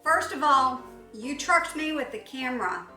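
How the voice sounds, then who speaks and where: A woman's voice: sits high, expressive, unhurried, sharp tone, recorded from a distance. A woman chatting and bantering casually as co-host of a home vlog. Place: indoors in a trailer home. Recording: roomy echo, off the mic, faint music.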